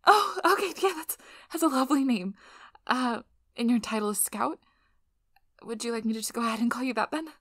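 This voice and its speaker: A woman playing a nervous young female PhD researcher in an ASMR role-play, flustered, halting and apologetic, with breathy whispered asides. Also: fairly high pitch, dry recording, clean studio sound, lightly acted. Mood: awkward, eager.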